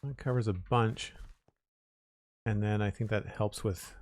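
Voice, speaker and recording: A man streaming a building game, talking casually in a raspy, slightly hoarse voice as he thinks aloud in an unhurried, rambling way. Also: fairly low pitch, fairly even intonation, a little mellow. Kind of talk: casual live-stream chat while building.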